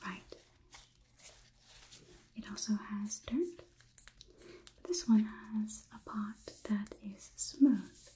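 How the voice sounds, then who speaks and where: A young woman performing an ASMR roleplay as a calm robot overlord, whispering in a measured, inquisitive, slightly condescending manner. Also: medium pitch, natural voice, poor audio. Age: young adult. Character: calm, clinical robot overlord examining a human. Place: small indoor room.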